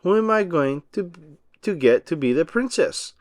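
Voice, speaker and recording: A man puts on a giddy cartoon sea sponge for a storybook, all squeals and wide-eyed eagerness. The voice sits high and leaps around. The sound is dry and close. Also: animated, moderate pace, no room sound, lightly acted. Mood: excited. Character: overexcited cheerful young male sea sponge. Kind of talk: children's storybook read-aloud.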